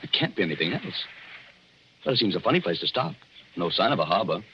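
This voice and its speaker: An actor playing a devoted, cheerful young American honeymooner in a 1940s radio drama. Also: male voice, low-pitched, sing-song, fast. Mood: puzzled. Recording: muffled, noisy 1940s radio recording.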